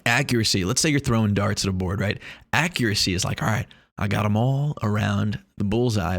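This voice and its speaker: A young man rambles through a casual vlog. He jokes, brags and swears freely, and his voice sits a little low. It is recorded close and dry, with no room sound. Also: fairly bright tone, no room sound. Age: 20s. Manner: joking, boastful, swears a lot. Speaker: vlogger. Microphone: lav or shotgun mic.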